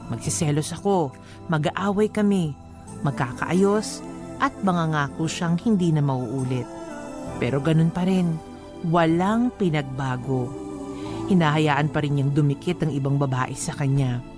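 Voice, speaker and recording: A woman's voice: deep voice, measured pace, pauses often, music in the background. An actress playing a lovelorn young woman, narrating her relationship troubles in a confiding, plaintive first-person monologue. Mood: resigned, plaintive.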